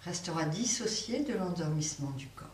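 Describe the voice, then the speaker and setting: A woman teaching a guided yoga nidra relaxation, speaking softly with long pauses. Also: lowish voice, expressive. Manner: slow, soft, pausing guidance. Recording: roomy, distant mic with faint hiss.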